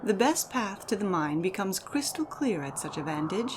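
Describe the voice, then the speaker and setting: A woman giving a measured, theatrical reading as narrator of a fantasy audio drama. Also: mid-range voice, very animated, noisy background. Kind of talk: dramatic second-person fantasy story narration.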